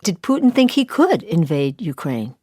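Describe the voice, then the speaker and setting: A woman's voice: lowish voice, sing-song, normal speed, mellow, no echo. A woman radio host asking brief, inquisitive questions and wrapping up the interview.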